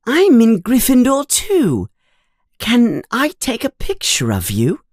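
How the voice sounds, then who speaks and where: A small, starstruck schoolboy from a storybook, speaking in a thin, very high voice. He is nervous and eager, gushing with a stammer, and the sound is dry and close. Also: huge pitch swings, takes it slowly, dry recording, heavily acted. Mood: excited. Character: nervous, excitable small first-year schoolboy fan.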